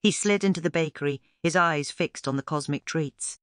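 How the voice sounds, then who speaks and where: Children's story narrator, scripted read-aloud, clean resonant voice, no room echo, medium pitch. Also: normal speed, a bit bright, clear, resonant tone, studio quality.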